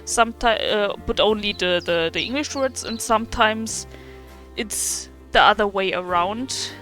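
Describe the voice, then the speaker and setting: Halting German woman, slow-paced gamer chat. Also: mid-range voice, a bit bright. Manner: halting, searching for words, self-deprecating. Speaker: gaming video creator and streamer. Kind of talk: casual chat over gameplay. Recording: faint game music behind.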